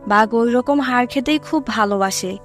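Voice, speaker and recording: A woman's voice: fairly high pitch, moderate pace. A woman narrating a scripted fable for children as a storyteller. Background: music.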